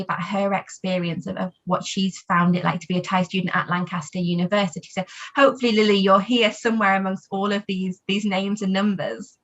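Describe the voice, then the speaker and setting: A woman's voice: mid-range voice, fairly bright tone, muffled recording. A woman presenting for a university on a webinar, polite and helpful.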